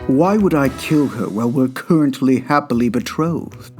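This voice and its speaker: A man's voice: medium pitch, expressive, normal speed, darkish tone, soft background music, lightly acted. A man voicing a haughty, indignant aristocratic villain with formal diction in a comic dub. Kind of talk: comic dub character acting.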